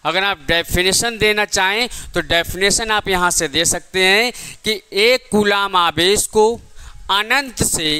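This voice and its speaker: A man's voice: sits high, sharp tone, clean tone. A man teaching an exam-prep physics class step by step, often asking questions and answering them himself.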